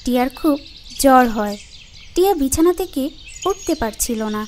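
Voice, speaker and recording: A woman's voice: high voice, lively intonation, normal speed, slight background noise. A woman reading scripted storybook narration for a fairy-tale cartoon.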